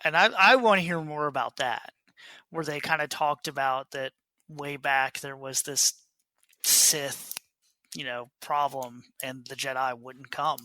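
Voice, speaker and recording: A man's voice: medium pitch, unhurried, bright, forceful tone, dry recording. A man co-hosting a podcast, chatting casually with a wry, joking manner. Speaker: podcast co-host. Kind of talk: casual book club discussion.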